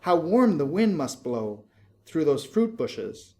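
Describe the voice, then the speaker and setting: A man's voice: on the high side, sing-song, takes it slowly, mellow, heavily acted. A man voicing a curious, rapturous young maiden in a storybook poem, full of wonder and coaxing. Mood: excited wonder.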